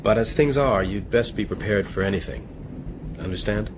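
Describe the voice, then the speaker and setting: An actor playing an earnest, courteous gentleman officer in a seafaring radio drama. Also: on the low side, moderate pace, background noise, lightly acted. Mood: courteous, warning. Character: earnest, anxious gentleman officer on a sailing ship. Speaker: ship's officer, addressed as mister. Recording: audio drama with loud sea ambience.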